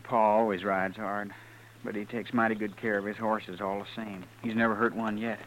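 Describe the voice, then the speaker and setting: An actor playing an earnest, fearful American farm son in a 1950s radio western, plain and deferential. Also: male voice, fairly low pitch, flat delivery, moderate pace, fairly bright tone, natural voice, rough recording. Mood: subdued, nervous. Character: earnest, fearful farm son under his father's thumb. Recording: old radio recording with faint noise.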